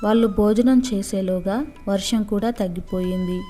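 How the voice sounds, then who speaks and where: Mellow woman storyteller, soft music behind. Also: mid-range voice, steady tone, normal speed. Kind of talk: scripted narration of a moral story for children.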